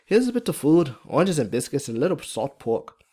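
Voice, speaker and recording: A man performs a kindly Irish farm wife from a storybook. She talks in hearty country dialect, pitched well above a man's usual talk. Her temper is brisk, warm and wry. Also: sing-song, breathy edge. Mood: tender, hearty. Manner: folksy, hearty dialect speech.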